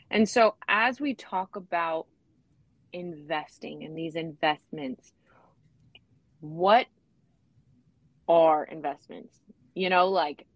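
A woman's voice: sits low, no echo, dull audio. A woman hosting an interview, asking casual, hesitant questions. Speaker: interview host.

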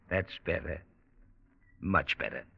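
A man's voice: deep voice, extremely slow, vocal fry, very noisy, full character voice. An actor playing a young American businessman and scheming suitor in an old-time radio drama, persuasive and self-assured. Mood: sympathetic. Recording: muffled vintage radio with music.

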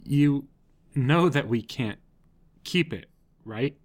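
Woman doing a stern fed-up lady in an audio drama, blunt, talks slow, not mean. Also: a bass voice, lively intonation, exaggerated voice. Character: Siobhan, stern but not unkind, exasperated woman. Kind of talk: scripted amateur audio drama acting.

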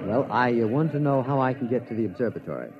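An actor playing a mild, bookish astronomer, polite and halting, in the manner of 1950s radio drama. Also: male voice, medium pitch, lively intonation, crowd noise, close to an everyday voice. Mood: polite, hesitant. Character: mild, bookish, hesitant male astronomer, naive newcomer. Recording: dull old radio recording.

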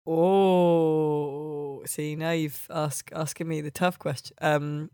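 A podcast host with a mid-pitched voice, recorded dry and close. The talk is casual games chat, full of swearing and wry jokes. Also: level tone, takes it slowly, mellow, clean tone, no echo.

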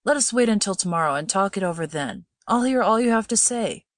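Storybook son, played by a woman: earnest, exasperated young man, animated pitch, dry close sound. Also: brisk, no room sound, close to an everyday voice. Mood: anxious, coaxing. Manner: earnest, impatient, reasoning. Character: straightforward, exasperated young man pleading with his mother. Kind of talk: dramatised audiobook reading of old-fashioned fiction.

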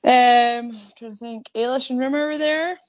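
A Scottish woman in her thirties, an athlete guest in an interview, slightly croaky, talking casually with many pauses and fillers. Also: a little high, no room sound, lo-fi sound. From Scotland. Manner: relaxed, many ums, light laughs.

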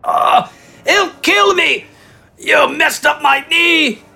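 A man's voice: very high-pitched, slow pace, vocal fry, sharp tone, soft background music, voice pushed up, exaggerated voice. A man voicing a cowardly injured bandit in an audiobook, whining and pleading in a strained voice as he gasps in pain. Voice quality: strained, whiny. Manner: pleading, gasping. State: gasping in pain.

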